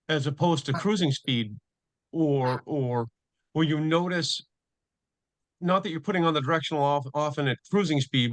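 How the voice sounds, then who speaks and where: A man's voice: fairly high pitch, a bit bright, dry recording. A man speaking casually and somewhat hesitantly as a participant in a group technical call, circling around his point. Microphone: conference call.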